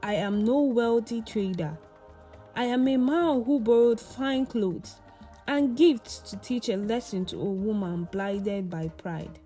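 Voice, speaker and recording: A stern man posing as a grand suitor in a village folktale, acted out by a woman narrator. He makes cold, measured declarations at a slow pace. The tone is dark and mellow over faint music. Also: fairly high pitch. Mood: stern, revealing. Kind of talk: folktale storytelling dialogue.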